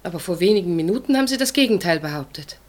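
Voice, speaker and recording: Low-voiced, restrained woman in radio drama, acted. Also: animated, racing, lightly acted. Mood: hurt, firm. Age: 30s. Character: dutiful, self-sacrificing unmarried older sister, aged thirty-five. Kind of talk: German radio play acting, intimate naturalistic dialogue.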